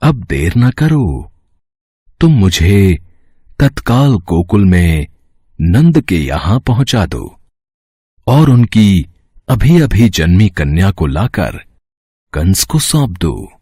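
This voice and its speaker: Man voicing a very slow, majestic god. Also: sits low, sing-song, mellow, slightly rough, dry recording, studio quality, heavily acted. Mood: solemn, majestic. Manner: slow, solemn proclamation. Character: solemn four-armed male god, majestic and calm. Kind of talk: Hindi mythological audio story acting.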